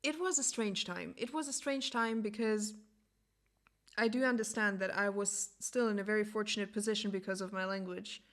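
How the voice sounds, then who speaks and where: A young adult woman whose first language is German, hosting a podcast and speaking in a reflective personal monologue. She pauses often and searches for words. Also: mid-range voice, fairly even intonation, a bit bright. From Latvia. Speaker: podcast host.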